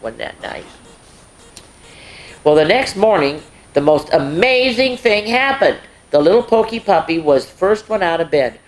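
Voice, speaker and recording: A woman hosting story time, reading aloud to young children in an expressive way with little asides. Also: lowish voice, unhurried.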